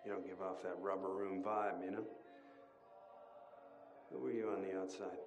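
A man's voice: low voice, level tone, moderate pace, highs cut off, over music. An actor playing a jaded, restless male asylum patient in a video game, with an American accent and sardonic, old-fashioned slangy wisecracks. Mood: wry, encouraging.

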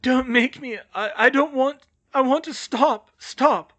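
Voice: high-pitched, measured pace, a bit bright, lo-fi sound, voice pushed up. A performer voicing a delirious young man who pleads in childlike terror with ragged, sobbing cries. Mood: desperate pleading. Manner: babbling, begging, repeating pleas.

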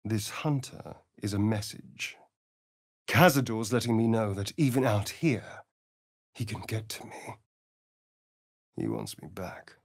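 An actor playing a sardonic, vain vampire rogue in a video game, with a theatrical, arch, drawling delivery. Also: male voice, on the low side, very animated, measured pace, creaky, pauses often, no room sound, studio quality, full character voice. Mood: grim. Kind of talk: video game character voice lines.

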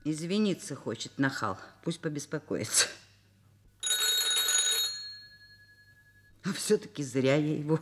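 An actress playing an overworked middle-aged professor and mother in a Soviet radio drama, expressive, wry and self-mocking. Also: low-pitched. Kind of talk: Soviet radio drama acting, first-person narration.

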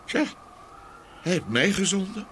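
Fairy-tale role: male actor as obedient simpleton youngest son, highish voice, animated, guileless. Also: unhurried, full character voice. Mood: meek. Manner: guileless, agreeable. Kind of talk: audio fairy-tale acting. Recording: faint ambient noise behind voice.

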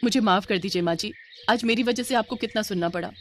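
A woman voicing a confident, sweet young daughter-in-law in an animated family moral story. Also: medium pitch, fast, slight background noise, close to an everyday voice. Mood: apologetic, sweet.

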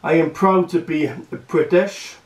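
A young man, talking to camera at home in a halting, earnest way full of fillers and restarts. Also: a little high, steady tone. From Wales. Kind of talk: casual vlog speech to camera. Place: small room at home, indoors. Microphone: camera across the room. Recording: echoey room, distant camera mic.

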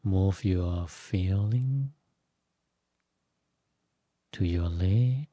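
Very slow, dark-voiced man guiding meditation. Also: low-pitched, dry recording. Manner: slow, gentle instructions in short phrases with long pauses. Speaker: Buddhist monk, meditation teacher. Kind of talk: guided meditation.

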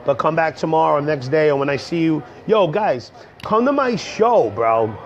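A young man, hoarse from a cold, rambling casually to viewers on a livestream from a coffee shop. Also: on the high side, expressive, normal speed. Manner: rambling, slangy, talks straight to viewers. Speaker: comedian livestreaming. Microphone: earbud headset mic on a phone. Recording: dull lo-fi phone stream.

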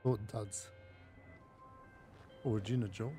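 A man in his thirties with a German accent, giving casual, halting game commentary on a livestream. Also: on the low side, fairly even intonation, over music. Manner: halting, searching for words. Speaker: game streamer. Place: indoor room, streaming setup.

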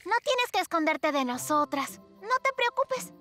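A kind, adventurous little girl in a children's cartoon. Her voice is very high and bright, cheerful and unhurried, over faint music. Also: sing-song, exaggerated voice. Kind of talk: animated children's cartoon dialogue.

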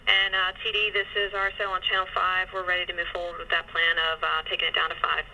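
A woman's voice: lowish voice, monotone, fast talker, creaky, sharp tone, muffled recording. A woman working as a range safety officer, speaking in matter-of-fact procedural style over radio. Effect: radio transmission.

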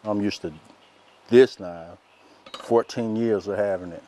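Soft-spoken man cooking outdoors. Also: fairly low pitch, measured pace. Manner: soft-spoken, folksy and relaxed. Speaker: chef host. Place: outdoors by a lake in woods.

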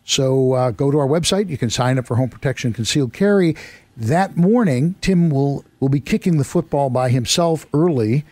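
A male radio host with a hoarse, worn voice, talking in a chatty, rambling, self-deprecating way in a studio. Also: mid-range voice, expressive, normal speed, darkish tone, dry recording. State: hoarse, losing his voice. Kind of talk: casual radio talk. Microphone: studio microphone.

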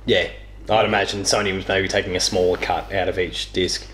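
Young man, lowish voice, casual rambling storyteller, echoey living room. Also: little pitch movement, fairly quick, fairly bright tone, a little hiss or hum. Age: young adult. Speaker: interview guest. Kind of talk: casual chat, gaming storytelling. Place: small living room, on a couch.

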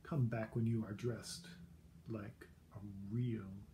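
A man voicing a snobbish young prince in a read-aloud picture book, slow and disdainful. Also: lowish voice, flat delivery, background noise. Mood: sniffy.

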